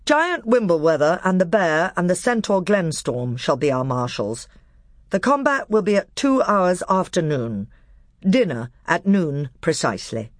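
Young storybook king, read by a narrator: noble, firm, courteous, grave but kindly, animated intonation. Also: medium pitch, no room sound, clean studio sound, voice pushed up. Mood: grave, decisive. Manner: measured, grave, kindly authority.

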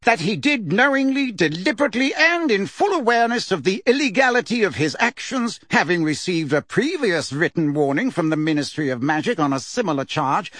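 A man's voice: high voice, a bit bright, no echo, clean studio sound, pitched above the performer's own voice, exaggerated voice. A man reading aloud, voicing a pompous middle-aged male official who talks in a testy, fussy way.

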